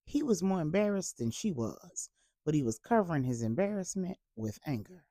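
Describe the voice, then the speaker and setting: A woman storyteller giving a deliberate, emphatic, dramatic narration of a bedtime story for grown-ups. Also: fairly low pitch, big pitch swings, dark tone, dry recording, studio quality.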